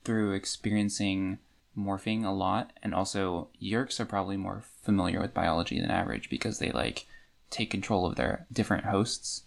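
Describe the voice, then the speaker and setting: A woman's voice: a bass voice, normal speed, vocal fry. A woman co-hosting a podcast, discussing a book in a casual, conversational way. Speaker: podcast co-host.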